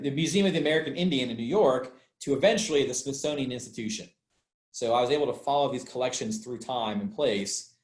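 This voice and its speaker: A man speaking as an archaeologist, giving an informal explanatory talk in a conversational way, repeating points for emphasis. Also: medium pitch. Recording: roomy, echoey sound.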